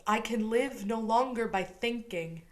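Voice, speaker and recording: A woman playing a lovesick, yearning young gentleman in a Shakespearean radio play, earnest and heartfelt. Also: on the high side, unhurried, natural voice. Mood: melancholy.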